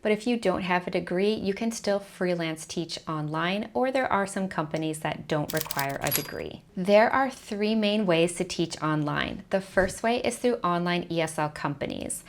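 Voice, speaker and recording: Woman, young adult, lower register, clear list-like explainer for an advice video. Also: expressive, normal speed, hardly any pauses, slight background noise. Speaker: online teaching coach. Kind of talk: scripted advice video to camera.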